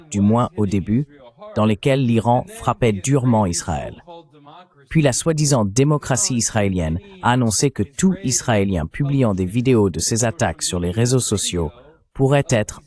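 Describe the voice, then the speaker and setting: A man's voice: on the low side, a little mellow. A man delivering political commentary as an analyst, in an emphatic, argumentative way.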